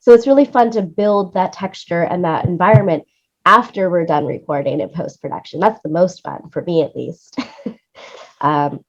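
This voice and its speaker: A woman's voice: lowish voice, normal speed, lo-fi sound. A woman talking enthusiastically and conversationally as an interview guest about her creative work. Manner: enthusiastic, effusive. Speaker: interview guest, radio play producer and music supervisor.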